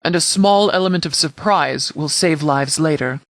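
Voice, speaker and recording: Man acting a stern, commanding sheriff, higher-pitched. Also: fairly bright tone, no echo. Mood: resolute. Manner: formal, measured, authoritative. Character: stern, commanding sheriff leading a wasteland militia. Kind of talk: scripted video game dialogue.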